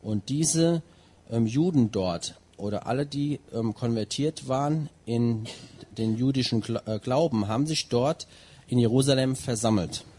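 A man interpreting a sermon phrase by phrase, and at times reading a long scripture passage at a steady pace. His mid-pitched voice has a husky grain. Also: unhurried. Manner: translates line by line, with some fillers and restarts.

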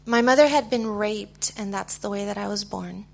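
A middle-aged woman with an American accent giving a personal testimony in short phrases, pausing for an interpreter. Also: medium pitch, creaky. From United States. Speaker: guest speaker giving testimony.

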